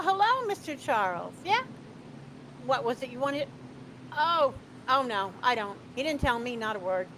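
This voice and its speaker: An actress playing a guarded, evasive secretary in a 1930s radio drama. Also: female voice, sits high, fairly bright tone, slight background noise, full character voice, rough recording. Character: guarded, smooth-talking female secretary with secrets.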